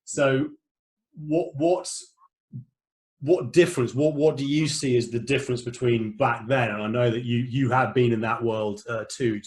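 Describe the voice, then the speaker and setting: Man, middle-aged, podcast host, rambling manner, remote call from home. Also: mid-range voice. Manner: rambling, lots of ums and restarts. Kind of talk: casual podcast interview.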